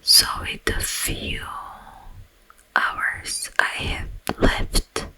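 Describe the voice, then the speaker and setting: An ASMR performer voicing a sleep paralysis demon who was once a monk, telling its grim past in breathy, drawn-out whispered phrases with long pauses. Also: sharp tone, heavily acted, poor audio. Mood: hushed, ominous. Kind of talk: whispered ASMR roleplay storytelling. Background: music.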